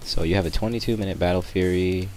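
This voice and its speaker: A sick young man with a raspy voice, rambling through a casual gameplay tutorial voice-over with lots of ums. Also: low-pitched, steady tone, quick pace, a little hiss or hum. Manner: rambling, many ums and restarts. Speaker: gameplay commentator. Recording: voice-over with loud background music.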